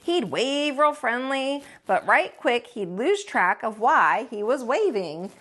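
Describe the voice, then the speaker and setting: Lively, high-voiced woman reading a storybook. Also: takes it slowly. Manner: folksy, expressive, dramatic emphasis on repeats. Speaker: teacher reading a storybook aloud. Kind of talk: animated read-aloud storytelling.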